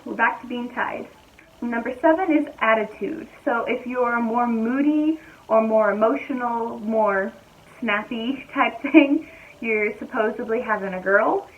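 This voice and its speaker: A young woman chats casually from home, her voice a little high and slightly raspy from hoarseness. She talks in an easygoing, rambling way, thinking aloud as she goes. The recording sounds a bit muffled and lo-fi, with a faint hiss behind her. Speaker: vlogger. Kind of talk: casual chat to camera. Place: at home, indoors.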